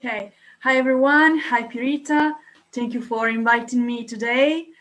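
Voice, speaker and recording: A young woman with an Italian accent, a podcast guest talking casually and hesitantly with many filled pauses. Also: a little high, fairly even intonation, a bit bright. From Italy. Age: young adult. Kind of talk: casual interview talk.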